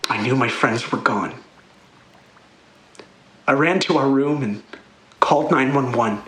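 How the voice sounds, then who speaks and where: A man playing a haunted young musician accused of murder, pleading and halting in an audio drama. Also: medium pitch, lightly acted. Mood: haunted, dazed. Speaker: radio interview caller. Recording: echoey, with loud music behind. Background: loud music.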